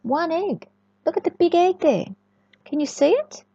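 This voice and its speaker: A woman reading a storybook to young children in an expressive, sing-song way, often chatting and asking the listener questions. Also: very high-pitched, a little slow. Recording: echoey room, dull lo-fi sound.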